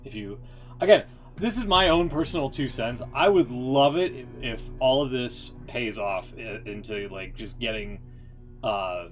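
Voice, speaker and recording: A man's voice: medium pitch, measured pace. A man giving casual gaming commentary as a streamer, in a rambling, hesitant way that often interrupts itself. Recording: music playing behind the voice.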